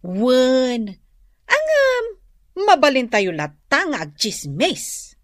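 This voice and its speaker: A woman acting an excitable, gossipy village housewife with an Ilocano accent in a comedy radio drama, exclamatory and quick to laugh. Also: high-pitched, no echo, clean studio sound, heavily acted.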